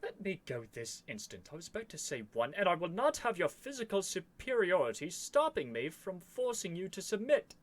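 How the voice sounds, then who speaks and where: A man's voice: high-pitched, very animated, normal speed, few pauses. A man playing a stubborn, flustered young prince with haughty, theatrical phrasing in an ASMR roleplay. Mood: defiant, indignant.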